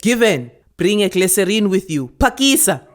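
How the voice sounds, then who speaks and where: A woman's voice: mid-range voice, big pitch swings, moderate pace, voice pushed up, natural voice. A woman voicing a female elder who is bossy yet tender with a child, in a South African accent, in a short story. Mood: bossy, loud.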